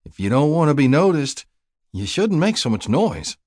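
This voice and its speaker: A man's voice: mid-range voice, expressive, a little slow, no echo, voice pushed up. A man voicing a blunt, wary woman traveler in an audiobook, with a dry, curt, practical manner. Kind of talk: audiobook character dialogue.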